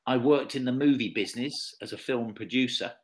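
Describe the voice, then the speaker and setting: A man's voice: mid-range voice, a little fast. A man in his fifties with an English accent, teaching one-to-one conversation and repeating things to check with a learner. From England. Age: 50s. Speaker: English tutor. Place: online video lesson call.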